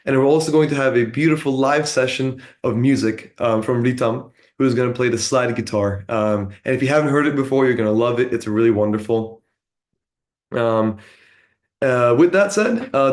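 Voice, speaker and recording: A young man from San Diego, California, hosting an online meditation program in a relaxed, friendly way with lots of uh and um. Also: mid-range voice. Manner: relaxed, friendly, with many fillers like uh and um. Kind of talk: casual spoken introduction to a meditation session.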